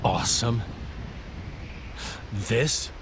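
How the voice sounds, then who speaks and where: Slow, low, bitter villain. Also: male voice, background noise. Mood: bitter, contemptuous. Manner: deliberate, contemptuous, brooding. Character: cold, bitter, world-weary swordsman villain. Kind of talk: video game cutscene acting. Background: loud music.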